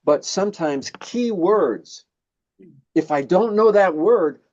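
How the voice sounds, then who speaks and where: A man's voice: high voice, animated, takes it slowly, darkish tone, dull audio. A man, a native English speaker, hosting a casual conversation. He talks thoughtfully and hesitantly, with many fillers when he switches to Korean. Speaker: host.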